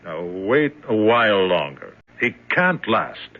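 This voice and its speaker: An actor playing a cold, patient jailer with a terse, grimly certain manner in an old-time radio drama. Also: male voice, on the low side, big pitch swings, slow pace, exaggerated voice. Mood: grimly assured. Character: cold, patient male jailer waiting for prisoner to break. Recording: muffled vintage radio drama recording.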